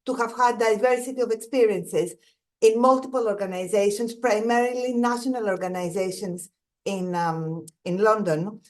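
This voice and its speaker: A woman's voice: mid-range voice, moderate pace, darkish tone. A middle-aged woman with a Greek accent, giving an earnest, reflective presentation over slides and often restarting her phrases. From Greece. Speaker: guest speaker, museum director.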